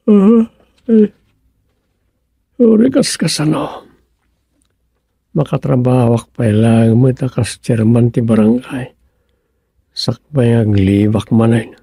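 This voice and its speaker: An actor with an Ilocano accent playing a fretful, muttering son-in-law in a radio comedy drama. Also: male voice, medium pitch, vocal fry, dark tone, slightly rough, no echo, clean studio sound, heavily acted.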